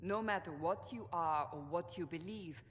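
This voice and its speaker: A woman's voice: on the low side, moderate pace, lightly acted. A woman playing a stern, devout, commanding warrior in a dramatic fantasy video game. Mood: firm, resolute. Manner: commanding, emphatic. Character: stern, forceful, devout female warrior Seeker. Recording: reverberant hall, faint background noise.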